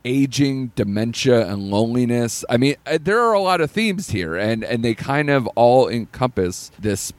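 A man's voice: mid-range voice, sing-song, moderate pace, hardly any pauses, dry recording. A man hosting a casual podcast about a new film, laughing often as he reads out the plot. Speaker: podcast host. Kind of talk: casual film review chat.

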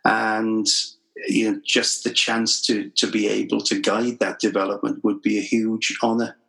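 A middle-aged man from Wales speaks with a Welsh accent and a fairly low voice. He answers a podcast host's questions modestly, with a reflective and dry wit. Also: flat delivery, a little slow, vocal fry, a bit bright, low-quality recording. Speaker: guest. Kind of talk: podcast interview.

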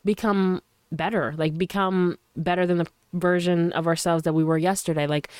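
A woman hosting her own podcast in a loose, chatty way, her voice a little hoarse from a cold, earnest and encouraging toward her listeners. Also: fairly low pitch, steady tone, normal speed, darkish tone, no echo, clean studio sound. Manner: loose and chatty, full of fillers. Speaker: podcast host. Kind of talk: casual solo podcast talk. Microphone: studio microphone.

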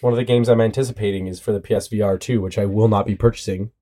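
A man's voice: fairly low pitch, rapid-fire. A young man with a stuffy nose from a cold, hosting a casual podcast chat with friends and joking around. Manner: joking, rambling, animated. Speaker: podcast host.

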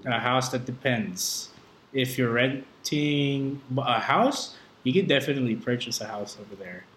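A man's voice: mid-range voice, lively intonation, a little slow, a bit bright. A man talking casually and jokingly as a podcast guest, in informal, slangy speech. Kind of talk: casual conversation. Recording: echoey room with faint background noise.

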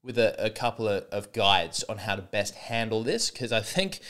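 A man's voice: fairly low pitch, animated, normal speed, a bit bright, clean tone. A young man hosting a solo comedy podcast, rambling and sarcastic with many false starts.

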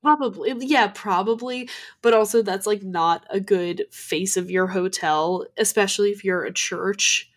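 A woman's voice: medium pitch, a little slow. A young woman, nasal from a cold, co-hosting a podcast and telling ghost stories in a chatty way full of "like" fillers. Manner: chatty, many "like" fillers and restarts. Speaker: podcast co-host. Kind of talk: casual chat about ghost stories.

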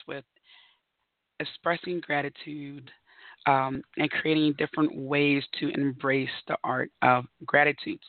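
A woman hosting her own podcast, her voice raspy and hoarse as she recovers from bronchitis, talking in a chatty, upbeat way with frequent laughs. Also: sits low, no echo, phone-quality sound. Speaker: podcast host. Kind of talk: solo podcast monologue.

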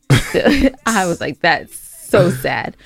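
A woman co-hosting a podcast in casual banter, telling stories in an animated, conversational way. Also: lowish voice, extremely slow, gravelly, husky, no echo. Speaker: podcast co-host.

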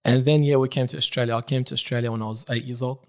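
A young man born in Iraq, a religious reciter, telling his life story in a relaxed, casual podcast chat, dropping Arabic phrases as he talks. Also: mid-range voice, very fast. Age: young adult.

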